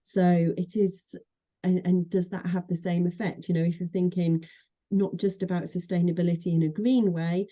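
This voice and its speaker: A woman's voice: lowish voice, level tone, normal speed, warm tone. A middle-aged woman from Manchester with a Mancunian accent, a guest in a podcast interview, talking chattily with many fillers and restarts. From Manchester, England. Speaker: association executive director, guest.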